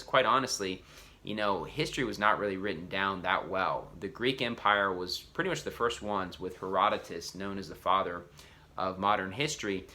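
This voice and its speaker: Bright-voiced man preaching, earnest. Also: lowish voice. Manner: earnest explaining, frequent "you know" and restarts. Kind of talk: teaching talk on religion.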